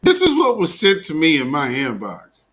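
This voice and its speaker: A man's voice: fairly high pitch, huge pitch swings, normal speed, fairly bright tone. An American man hosting a live commentary show, talking in a casual, opinionated, mocking and sarcastic way. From Portland, Oregon, United States.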